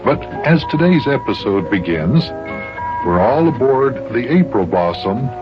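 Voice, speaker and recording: An actor playing a cheerful grown-up guardian who tells the story in an old children's radio serial. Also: male voice, mid-range voice, very animated, unhurried, music in the background. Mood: friendly storytelling. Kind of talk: 1950s children's radio serial narration and acting. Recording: dull old radio recording.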